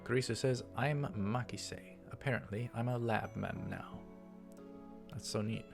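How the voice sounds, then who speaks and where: A man's voice: mid-range voice, a little slow, gravelly, over music. A man doing a let's play, reading visual novel dialogue and narration aloud and adding casual asides. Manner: narrates each line with dialogue tags and aside remarks.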